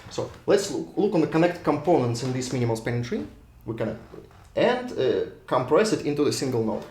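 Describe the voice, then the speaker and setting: A Russian-accented man lectures at a board in a university classroom, repeating and restarting his words as he thinks aloud. His voice sits at a middling pitch and rings in a roomy hall, now and then drifting away from the mic. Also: sing-song, fairly quick, a little mellow, a little hiss or hum. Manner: repetitive restarts, thinks aloud while drawing. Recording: echoey room, voice drifts off mic.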